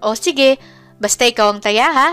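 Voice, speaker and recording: A woman voicing a lively, bossy eight-year-old girl with an insistent, childlike manner. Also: on the high side, normal speed, clean tone, faint music behind.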